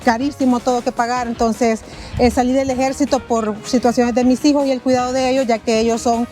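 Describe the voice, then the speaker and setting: Earnest Salvadoran woman, high voice, over music. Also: little pitch movement, dark tone. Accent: Salvadoran Spanish. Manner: earnest, unhurried, with many hesitations. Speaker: interviewee. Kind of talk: street interview, personal story. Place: outdoors on a city street.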